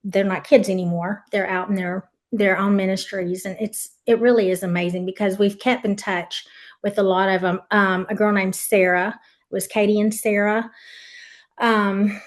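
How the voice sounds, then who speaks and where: A woman's voice: mid-range voice, flat delivery, normal speed. A woman from Tennessee, a former camp leader, reminiscing as a podcast guest in an earnest, rambling way with frequent ums and restarts. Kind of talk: reminiscing interview.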